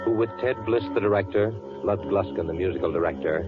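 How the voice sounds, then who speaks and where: Very low male narrator, formal, ominous, deliberate, muffled vintage broadcast, loud music behind. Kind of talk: 1940s radio suspense narration.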